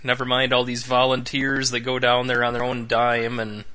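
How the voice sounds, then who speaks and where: A man's voice: medium pitch, monotone, moderate pace, sharp tone. A man co-hosting a podcast in casual, rambling chat with wry, sarcastic asides. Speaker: podcast co-host.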